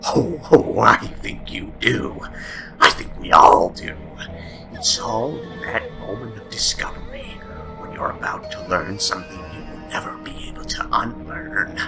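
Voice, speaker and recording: Slow, hissing, sinister madman. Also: on the low side, sing-song, vocal fry, whispered, heavily acted, poor audio. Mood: mocking menace. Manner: slow, theatrical, teasing with drawn-out words. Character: sinister, taunting, lonely madman lurking in darkness. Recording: background music under the voice.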